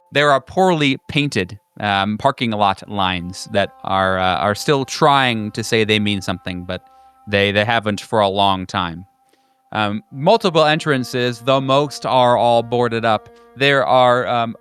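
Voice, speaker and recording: A man narrating an improvised tabletop horror game as game master, halting and conversational in his descriptions. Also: mid-range voice, fairly bright tone, clean tone.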